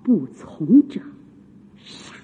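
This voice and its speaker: A woman acting an imperious, falsely kind, scheming empress in a theatrical Chinese radio drama. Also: warm tone. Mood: cold, hushed. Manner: commanding, deliberate, insinuating. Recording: noisy phone-like sound in long reverb.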